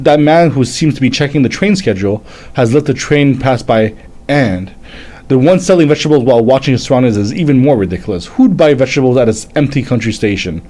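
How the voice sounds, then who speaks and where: A man in his thirties whose first language is French, hosting a casual live manga reading and chat, halting and stumbling as he reads aloud. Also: medium pitch, a little mellow. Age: 30s. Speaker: livestream host, manga reviewer.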